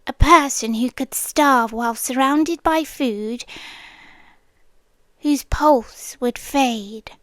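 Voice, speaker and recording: A British woman playing a doting, possessive night nurse in an ASMR roleplay, speaking in a hushed, intimate way that slips into whispers, close to the mic. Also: high voice, slow, no room sound. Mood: secretive, intrigued. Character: doting, possessive female night nurse, secretive, softly insistent. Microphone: close microphone in a dry room.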